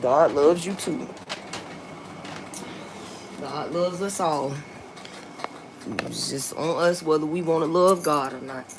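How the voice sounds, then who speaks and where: Low-voiced woman in her forties. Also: takes it slowly, long pauses, background noise. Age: 40s. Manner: blunt, repetitive, swearing, laughs often. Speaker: livestreamer. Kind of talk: casual live-stream chat with viewers. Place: outdoors.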